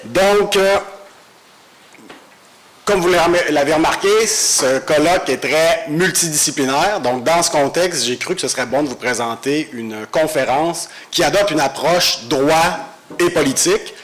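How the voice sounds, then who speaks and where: A man's voice: on the high side, fairly bright tone. Man lecturing with a cold. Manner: measured, explanatory. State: has a cold. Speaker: guest lecturer, law professor. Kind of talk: academic lecture. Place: university lecture hall.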